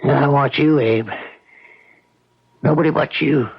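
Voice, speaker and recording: An actor playing a weary, bitter old small-town banker with a gravelly, halting voice in an old-time radio drama. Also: male voice, medium pitch. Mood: resigned. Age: older. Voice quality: gravelly, husky. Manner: halting, drawn-out delivery. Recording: dull, muffled radio recording.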